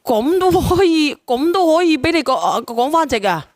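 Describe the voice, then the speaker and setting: A woman's voice: high voice, sing-song, moderate pace, darkish tone, no echo, clean studio sound. A woman hosting a live call-in show in casual, blunt, teasing talk, often repeating her phrases.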